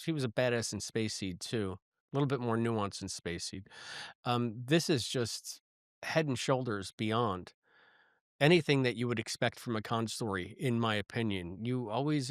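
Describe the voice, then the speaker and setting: A man co-hosting a podcast, talking through a review in a casual, halting, thoughtful way. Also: mid-range voice, clean tone, no room sound, studio quality. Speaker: podcast host.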